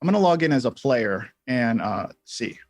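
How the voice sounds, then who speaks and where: A man hosting a casual live software tutorial, thinking aloud in a halting way as he explains things step by step. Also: mid-range voice, animated, no echo, studio quality. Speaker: livestream host and software instructor.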